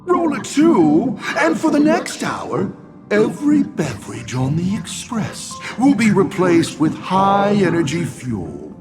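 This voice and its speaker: A man voicing a teasing, theatrical talking die in a video game, smooth-talking and mock-polite. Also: high-pitched, wildly swooping pitch, slow, over music, heavily acted. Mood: playful, grandiose.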